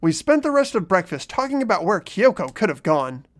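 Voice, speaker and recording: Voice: mid-range voice, sing-song, a little fast, natural voice. A performer voicing a mild, earnest teenage schoolboy who narrates and chats in a fan-voiced visual novel game. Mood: downcast.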